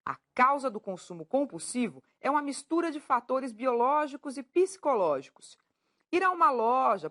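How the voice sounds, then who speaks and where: A woman's voice: on the high side, a bit bright. A woman hosting a TV talk show, playful and teasing with her guests. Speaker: TV show host.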